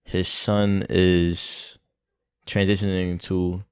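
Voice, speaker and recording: A man's voice: deep voice, level tone, very slow, smooth, dry recording, studio quality. A young man co-hosting a podcast in casual chat, laid-back and hesitant, with many fillers. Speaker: podcast co-host.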